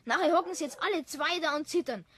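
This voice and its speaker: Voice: sits high, quick pace, fairly bright tone, slight background noise. A young performer playing a cheeky, defiant boy prankster with a Bavarian accent in a children's audio drama. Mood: bold, goading.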